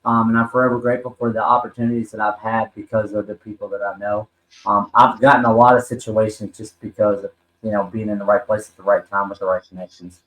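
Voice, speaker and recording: A man's voice: on the low side, level tone, fast talker, fairly bright tone. Man, forties, earnest rambling storyteller, roomy echo. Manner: earnest, rambling storyteller with many ums and restarts. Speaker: podcast guest, wrestler and talent manager. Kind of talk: personal story in a podcast interview.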